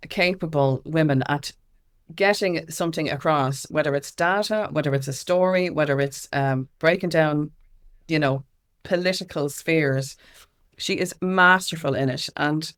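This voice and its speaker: An Irish woman speaking as an activist guest in a candid interview, with an emphatic, impassioned way of talking. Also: sits low.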